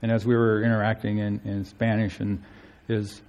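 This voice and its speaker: A male pastor preaching an earnest sermon, his voice climbing high to stress key phrases. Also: level tone, moderate pace, a little mellow. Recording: long hall reverb.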